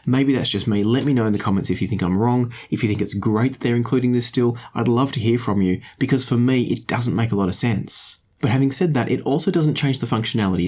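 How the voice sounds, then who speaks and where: A man reviewing audio gear to camera in a measured, conversational way, thinking aloud and inviting viewers to comment. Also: mid-range voice, a little mellow.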